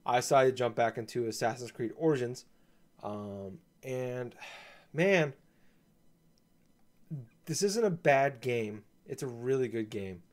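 A man co-hosting a casual gaming podcast, talking in a laid-back, hesitant way with many ums and restarts, his voice slightly gravelly from a coming cold. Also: medium pitch, measured pace, long pauses. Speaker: podcast co-host.

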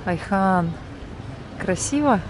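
A woman vlog host narrating a relaxed walking tour to her viewers, outdoors at night on a handheld camera. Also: medium pitch, slow pace. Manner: relaxed guide-like commentary, speaking to viewers as friends. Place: outdoors on a city street at night. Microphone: handheld action camera. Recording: street noise behind.

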